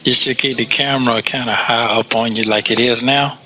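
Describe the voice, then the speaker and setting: A man with a bright, forceful voice at an ordinary male pitch chats privately on a call. He repeats phrases for emphasis and often stammers as he starts a thought. The call audio sounds a little tinny. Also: steady tone, fairly quick. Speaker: caller on a prison call. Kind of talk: casual private phone chat.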